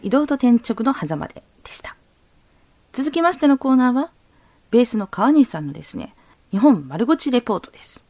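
A woman with a fairly high voice presents a radio program. She reads scripted introductions to its segments over music. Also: big pitch swings, a little fast, a little mellow.

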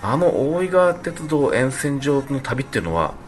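A man's voice: mid-range voice, big pitch swings, measured pace. A man chatting casually as co-host of a radio show. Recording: faint music behind the talk. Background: faint background music.

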